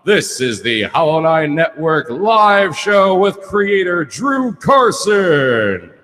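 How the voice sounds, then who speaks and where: A man hosting a live post-show chat with an emphatic, excitable delivery. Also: on the high side, takes it slowly, no echo. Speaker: host.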